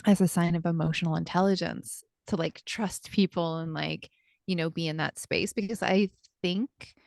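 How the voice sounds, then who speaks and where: A woman hosting a podcast conversation with a somewhat low voice, recorded dry and close. She is thoughtful and agreeable, and thinks out loud with lots of casual fillers. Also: fairly even intonation, normal speed, gravelly, no room sound. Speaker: podcast host, life coach.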